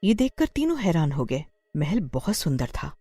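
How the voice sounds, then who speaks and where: Woman storyteller, lowish voice, lively intonation, scripted horror narration, faint music. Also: normal speed, dry recording.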